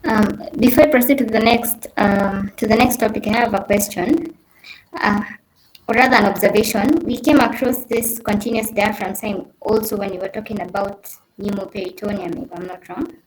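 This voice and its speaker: A woman's voice: mid-range voice, fairly even intonation, moderate pace. A young woman, a trainee giving an informal class presentation and raising observations. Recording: roomy echo, faint noise.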